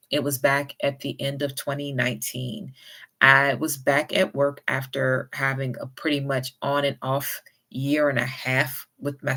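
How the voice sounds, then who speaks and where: Be a low-voiced Southern US woman giving encouraging advice. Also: fairly bright tone. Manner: friendly, encouraging, unhurried speech. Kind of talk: casual advice vlog.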